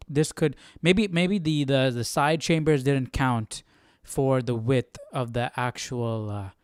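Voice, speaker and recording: Clear-voiced young man thinking aloud. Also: mid-range voice, dry recording, studio quality. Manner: hesitant, repeats words while working things out. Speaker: Bible study livestream host. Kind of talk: casual think-aloud commentary.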